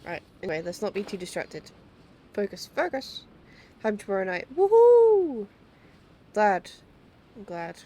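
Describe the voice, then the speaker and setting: A woman's voice: medium pitch, wildly swooping pitch, unhurried, pauses often, dark tone. A woman streaming a video game, giving casual live commentary as she plays. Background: faint game music.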